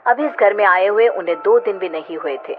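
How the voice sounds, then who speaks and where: A woman's voice: medium pitch, brisk, music in the background. A woman giving scripted narration of a horror story as its narrator. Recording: tinny, noisy band-limited audio.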